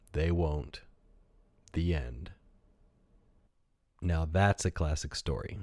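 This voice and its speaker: A man's voice: deep voice, darkish tone, dry recording, studio quality. A man narrating a read-aloud children's story as a storytelling podcast host.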